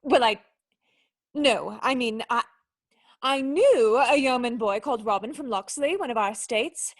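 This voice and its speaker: A woman's voice: a little high, a little slow. A woman playing a proud, defensive young noblewoman with formal, earnest speech in a radio play. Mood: flustered denial.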